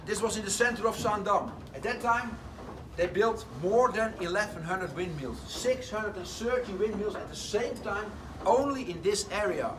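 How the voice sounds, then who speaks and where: A man, telling a tour group a lively story inside a large wooden sawmill. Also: high voice, moderate pace. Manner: lively storytelling, slightly broken English phrasing. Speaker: windmill guide. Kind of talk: guided tour talk. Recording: distant voice with noisy background.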